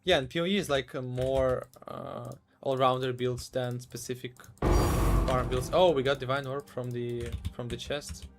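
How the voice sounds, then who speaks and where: Brief: man, game streamer, loose halting chat, mid pitch, faint music and typing behind. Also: steady tone. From Ukraine. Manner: halting, restarts and repeats words. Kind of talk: casual live-stream commentary.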